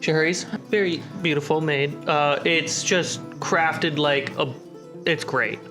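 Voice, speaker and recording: A man with a high voice narrates a tabletop game as its game master. He speaks slowly and leaves space between phrases. Music plays clearly behind him. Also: fairly even intonation.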